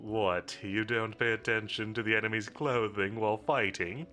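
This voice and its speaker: A man's voice: lowish voice, moderate pace, bright, forceful tone, faint music behind. A man playing a confident, teasing villain commander in a roleplay audio drama, drawling and flirtatious with a bossy edge. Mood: casual, teasing. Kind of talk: scripted roleplay audio drama addressed to the listener.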